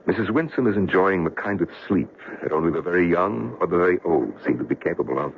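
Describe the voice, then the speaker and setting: Deep, gravelly widowed businessman. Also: expressive, fast. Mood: weary, guarded. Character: bitter, well-to-do widowed businessman, older, plain-spoken and earnest. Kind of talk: radio drama acting. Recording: muffled, noisy old radio drama recording.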